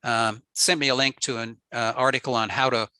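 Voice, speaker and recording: A man with a very bright, sharp voice walks through a technical slide presentation at an easy pace, dropping frequent ums and uhs as he explains. The sound is dry and close, with no room around it. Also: medium pitch, steady tone, a little fast, clear, resonant tone, no room sound. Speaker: ham radio presenter.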